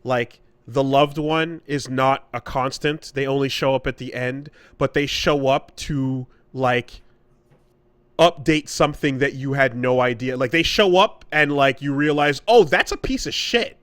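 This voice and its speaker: A male podcast co-host with a medium pitch, recorded dry and close. He talks casually and analytically, feeling his way through each point with halting, repeated words. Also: fairly bright tone, dry recording. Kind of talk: casual analytical chat about stories in games.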